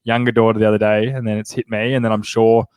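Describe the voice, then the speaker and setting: A man's voice: on the low side, flat delivery, a little mellow, smooth, dry recording. Chatty Australian man with a nasal cold. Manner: chatty and upbeat, quick asides. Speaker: podcast host. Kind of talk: casual sports chat.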